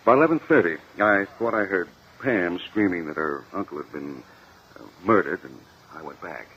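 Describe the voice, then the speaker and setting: Male actor as defensive businessman on the stand. Very deep, hesitant, hissy vintage radio-drama sound. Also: close to an everyday voice. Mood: halting. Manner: halting, hedging, defensive. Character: uneasy, evasive businessman testifying as a witness. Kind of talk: 1950 radio courtroom drama acting. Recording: muffled, hissy old radio recording.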